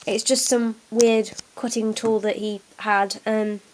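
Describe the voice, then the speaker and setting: A woman's voice: a little high, level tone, slow pace, slight background noise, low-quality recording. A young woman with a cold and a blocked nose, chatting through a hands-on craft tutorial with lots of ums. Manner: chatty, many fillers, talks while working. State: congested from a cold. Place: at a table indoors, hands on camera.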